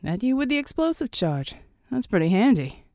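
A man's voice: very high-pitched, very animated, normal speed, darkish tone, no room sound, clean studio sound, heavily acted. A woman voicing a tough, wry American soldier man with a folksy, clipped, informal way of talking. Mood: casual, curious.

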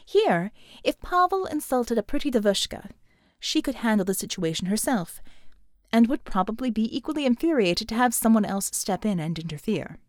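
A woman narrating a scripted audiobook novel in a measured, expressive storytelling manner. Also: a little high, no room sound, clean studio sound.

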